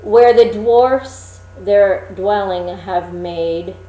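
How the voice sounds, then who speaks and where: A woman's voice: medium pitch, dark tone, roomy sound, low-quality recording. A woman voicing a solemn storybook magic mirror, reciting rhymed verse in an incantatory manner. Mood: solemn, oracular. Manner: slow, incantatory verse, emphatic then falling away. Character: solemn magic looking-glass answering in rhyme.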